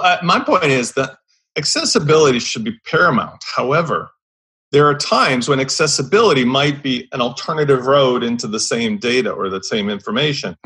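Blunt, husky-voiced man ranting. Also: medium pitch, fairly bright tone. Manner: blunt, emphatic, swears casually. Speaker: podcast panelist. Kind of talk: opinionated casual discussion.

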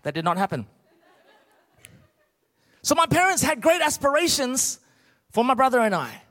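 High-pitched Australian male preacher in a hall. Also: big pitch swings, moderate pace, pushed and bright. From Australia, born in Malaysia. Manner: emphatic, rhetorical questions to the congregation. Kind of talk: sermon with comic storytelling. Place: large church auditorium, speaking to a congregation. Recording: large reverberant hall.

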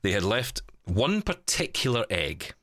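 A man with a very deep voice hosts a casual podcast chat. His pitch swings around in an animated way as he rambles through his stories. The sound is dry and close. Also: measured pace, no echo. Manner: chatty, rambling, animated storytelling. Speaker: podcast host and producer.

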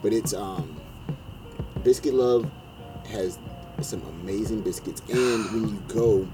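A man hosts a casual podcast in a relaxed, friendly way, his voice a little low. His accent is an even, neutral American one. Music plays clearly under the talk. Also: slow, rough recording. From North Carolina. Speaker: podcast host.

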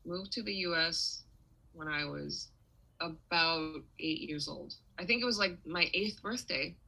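A woman's voice: lowish voice, unhurried, creaky, bright, forceful tone, breathy edge, rough recording. A young adult woman from Taiwan, a musician and interview guest, talking casually with many restarts and fillers.

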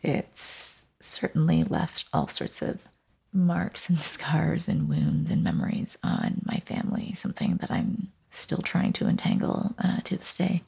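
Slow, gravelly, reflective woman. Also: sits low, big pitch swings, warm tone. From Taiwan and western Canada. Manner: thoughtful, halting, searching for words. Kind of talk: reflective personal narrative.

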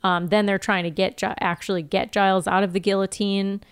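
A woman's voice: mid-range voice, little pitch movement, fairly quick, clear, resonant tone. A woman co-hosting a podcast, recapping TV in a casual, wry, sweary storytelling way. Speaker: podcast co-host. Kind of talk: casual TV episode recap chat.

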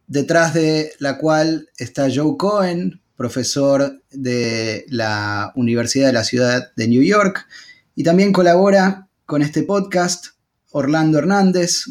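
Man hosting a podcast interview. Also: medium pitch. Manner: relaxed, with hesitations and fillers. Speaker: podcast host and sociologist. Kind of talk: conversational interview.

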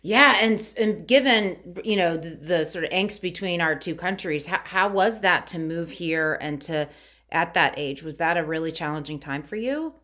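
A woman's voice: lowish voice, moderate pace, fairly bright tone. A woman hosting a casual interview, asking questions and keeping the talk going with short encouraging responses. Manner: encouraging, brief backchannels. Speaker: podcast host.

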